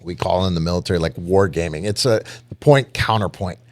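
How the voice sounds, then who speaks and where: A man's voice: low-pitched, brisk, a little mellow. A man hosting a podcast interview, chatty and affirming, asking long rambling questions. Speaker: podcast host. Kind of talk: casual conversational interview.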